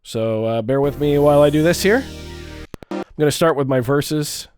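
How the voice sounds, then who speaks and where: A man with a stuffed-up nose, talking through a relaxed, chatty walkthrough of his music gear. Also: a little high, normal speed. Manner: relaxed, chatty, with fillers and small restarts. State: stuffed up, congested. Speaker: music gear video creator. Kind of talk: casual tutorial walkthrough.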